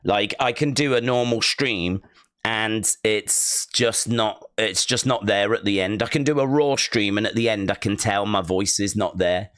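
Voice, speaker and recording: Male streamer, low and worn-out voice, rambling chat, dry close mic. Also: unhurried, a bit bright, dry recording. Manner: rambling, repeats himself, talks himself through. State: tired, weak voice. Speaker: live streamer hosting a fight watch-along. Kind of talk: casual live-stream chat. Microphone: streaming microphone.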